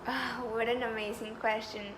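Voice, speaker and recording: Young woman from Colombia, early twenties, eager job candidate, slightly high voice, noisy recording. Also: level tone, normal speed. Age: 20s. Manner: eager, talkative, upbeat, with frequent fillers. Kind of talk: mock job interview.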